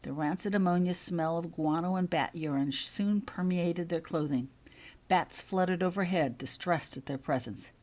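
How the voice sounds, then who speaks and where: A woman narrating fiction in an expressive storybook style, reading as the author of the tale. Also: fairly low pitch, normal speed.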